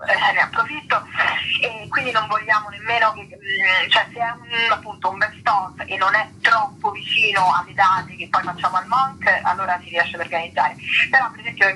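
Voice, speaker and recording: Female interview guest, very bright forceful tone, conversational and rambling, slight noise behind the voice. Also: medium pitch, normal speed, low-quality recording. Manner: chatty, digressive, often rephrasing mid-sentence. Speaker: actress and writer, interview guest.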